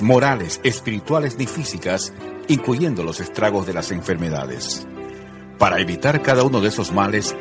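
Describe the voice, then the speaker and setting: A man presenting a segment in scripted religious narration. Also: fairly low pitch. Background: music.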